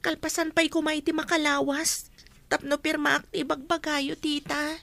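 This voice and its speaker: A woman's voice: sits high, level tone, normal speed, vocal fry, a bit bright. A woman playing a young, earnest captive niece in an audio drama, speaking respectfully to her elders. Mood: guarded, polite. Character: young captive heiress Eva, pleading, hopeful, dutiful niece. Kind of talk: Ilocano audio drama acting.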